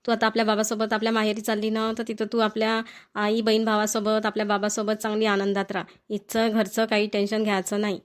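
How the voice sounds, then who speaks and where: A woman's voice: mid-range voice, monotone, fast, few pauses, close to an everyday voice. A woman voicing a kindly, reassuring older mother-in-law in a cartoon family comedy.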